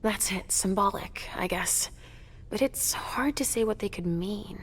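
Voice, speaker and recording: A woman's voice: mid-range voice, a little slow, slightly rough. A woman playing a troubled, fragile vampire mother in a dramatic game cutscene, with a hesitant, emotional delivery. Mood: hesitant, doubtful. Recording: faint music behind the voice.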